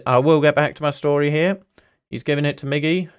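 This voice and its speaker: A man's voice: fairly high pitch, fairly even intonation, a little fast, smooth. A man from Singapore hosting a casual football watch-along, rambling chattily between viewer chat, anecdotes and play-by-play. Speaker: football livestream host, Newcastle fan.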